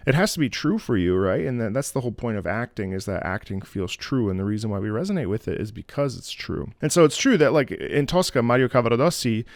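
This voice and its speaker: A man's voice: medium pitch, lively intonation, quick pace, few pauses, no echo. A man hosting a music reaction video, talking in a chatty, enthusiastic, casual way. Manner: chatty, enthusiastic, with many fillers and restarts.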